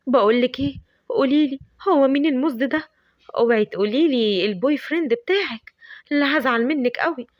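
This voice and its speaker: This is a lovestruck young woman with an Egyptian Arabic accent , as a woman puts her on for a storybook. Her voice is high and fast, and she teases in an excited, overdramatic way. The sound is dry and close. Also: dry recording, lo-fi sound, lightly acted. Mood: giddy. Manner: excited, teasing, dramatic.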